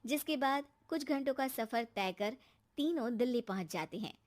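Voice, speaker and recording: A woman storyteller reads scripted cartoon narration. Her voice sits very high for a woman. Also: lively intonation, normal speed.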